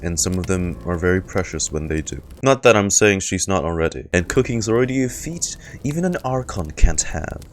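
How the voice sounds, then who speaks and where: A man's voice: low-pitched, lively intonation, fairly quick, few pauses, a little hiss or hum. A man streaming a game, reading dialogue aloud and reacting to it as he goes. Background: game music.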